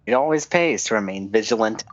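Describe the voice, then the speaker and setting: A man's voice: lowish voice, lively intonation, a little fast. A man streaming a game, making casual remarks and reactions as he plays. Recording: dry, dull, lo-fi microphone.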